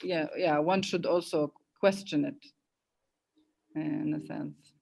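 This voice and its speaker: A woman's voice: sits low, fairly even intonation, slow pace, gravelly, warm tone. A woman hosting a casual online conversation, speaking reflectively with hesitations and restarts. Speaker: host.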